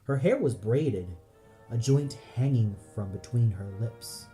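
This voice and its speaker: Male narrator, dramatic scripted reading, slow pace, mellow tone, backing music. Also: mid-range voice, lively intonation.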